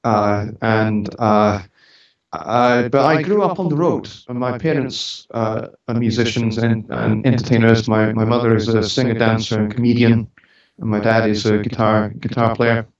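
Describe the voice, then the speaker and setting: A man's voice: on the low side, steady tone, normal speed. Echoey, mixed-accent Scottish man, chatting casually. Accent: mixed Scottish British. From Scotland, grew up on the road around the UK. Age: 40s. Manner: chatty, informal, lightly joking. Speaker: interview guest, musician and studio owner. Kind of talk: casual conversational storytelling. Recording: echoey room, distant mic, dull sound.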